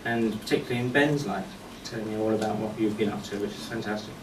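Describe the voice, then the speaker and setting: A man's voice: lowish voice, fast talker. Echoey, distant man giving a wry tribute. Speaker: speaker giving a memorial tribute. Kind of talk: eulogy speech with humorous anecdotes. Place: indoor function venue at a golf club, before an audience. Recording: echoey room, distant mic, faint noise.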